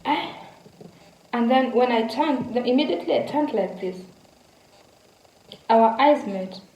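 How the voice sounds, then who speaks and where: A woman's voice: a little high, lively intonation, a little slow, dark tone, echoey room, dull audio, a little hiss or hum. A young woman from Kenya casually telling a story to her viewers, repeating words and speaking to them directly. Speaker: vlogger.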